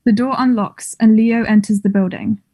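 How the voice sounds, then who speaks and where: A woman narrates a play script at a table read. Her voice is mellow and mid-pitched, setting out scene descriptions and stage directions as plain scripted narration. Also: moderate pace.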